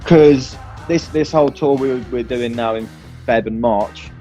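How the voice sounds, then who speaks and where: A man's voice: medium pitch, a little slow, dark tone. Casual man, music underneath. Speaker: band musician being interviewed. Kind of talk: casual interview chat.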